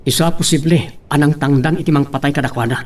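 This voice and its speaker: A man with an Ilocano accent playing a calm, analytical man in a radio drama. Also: on the high side, fast talker, natural voice. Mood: reasoning, reassuring.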